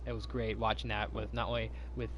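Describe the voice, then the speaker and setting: A man's voice: on the low side, level tone, brisk, lo-fi sound, a little hiss or hum. A man hosting a casual live stream, chatting to viewers in a rambling way, repeating himself and restarting his sentences.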